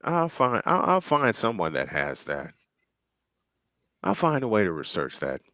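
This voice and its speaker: Bright-voiced man calling a football game. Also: lowish voice, wildly swooping pitch, unhurried, dry recording, clean studio sound. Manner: chatty, thinking aloud between plays. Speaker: play-by-play commentator. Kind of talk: live football commentary.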